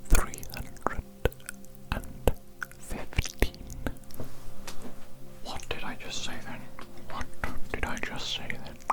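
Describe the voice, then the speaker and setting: An ASMR performer voicing an oddly formal alien doctor posing as a human, in a breathy whisper with polite, soothing, repetitive patter. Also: takes it slowly, lightly acted. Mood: encouraging. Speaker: ASMR performer playing an audiologist. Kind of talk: ASMR medical roleplay.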